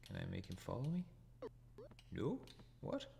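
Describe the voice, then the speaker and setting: Danish man, deep and lively in pitch, live game commentary host, noisy home recording. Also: measured pace, gravelly. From Denmark. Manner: thinks aloud, chuckles at his own deaths. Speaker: retro gaming channel host.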